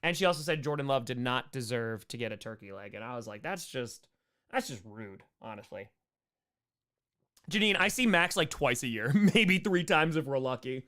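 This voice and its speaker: A man's voice: mid-range voice, expressive, a little fast, few pauses, a bit bright, smooth. A man hosting a casual livestream Q&A, joking and repeating short phrases as he goes. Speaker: streamer hosting a live Q&A.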